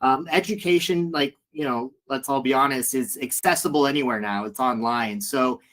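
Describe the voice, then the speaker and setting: A man on a panel speaks frankly and casually, filling his talk with loose fillers. His voice sits at a middling pitch for a man. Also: normal speed, fairly bright tone. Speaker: educator. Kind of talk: panel discussion.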